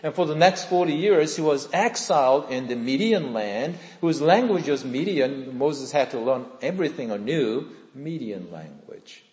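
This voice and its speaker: Pastor preaching in an echoey hall. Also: male voice, medium pitch, animated, moderate pace, hardly any pauses, darkish tone. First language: Korean. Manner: earnest, conversational, asks the congregation questions. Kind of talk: sermon with personal testimony.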